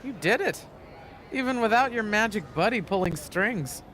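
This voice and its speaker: A woman playing an excitable, blunt wrestling official in a video game cutscene. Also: mid-range voice, moderate pace, music in the background, lightly acted. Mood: impressed.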